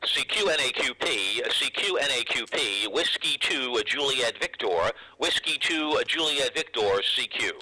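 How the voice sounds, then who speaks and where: Very bright, forceful man calling contest. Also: mid-range voice, expressive, normal speed, hardly any pauses, rough recording. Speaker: amateur radio contest operator. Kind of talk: repeated radio contest calls.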